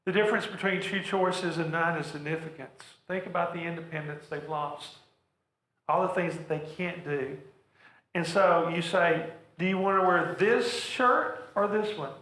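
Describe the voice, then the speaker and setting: Earnest male presenter, slightly high voice, roomy echoing hall, workshop delivery. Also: normal speed, fairly bright tone. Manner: earnest, repeats words when he stumbles. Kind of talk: practical talk to a church audience. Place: church hall.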